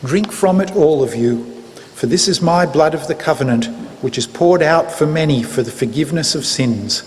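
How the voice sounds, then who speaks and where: A man plays a grave, resolute holy teacher in a scripture drama, his voice set fairly high. He declaims in solemn, measured phrases. The sound rings through a large, noisy church. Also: lightly acted. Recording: reverberant church hall, background noise.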